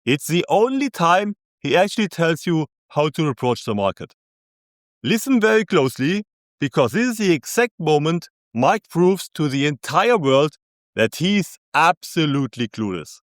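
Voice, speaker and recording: A man with a thick German accent ranting through scripted commentary, scornful and sarcastic. His voice sits fairly high and swings widely in pitch for emphasis. The sound is close and dry. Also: very animated, normal speed, no echo.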